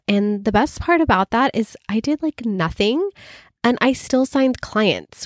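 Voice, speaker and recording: A woman's voice: medium pitch, dry recording. Nasal woman podcast host, dry sound. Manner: chatty and enthusiastic, with fillers like "you know". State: slightly scratchy, recovering from illness. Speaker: podcast host and business coach. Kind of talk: casual solo podcast talk.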